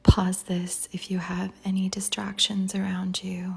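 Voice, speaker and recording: A woman guiding a meditation in a soft, breathy near-whisper, calm and soothing, with long pauses between phrases. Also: mid-range voice. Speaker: meditation guide. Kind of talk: guided meditation. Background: soft music.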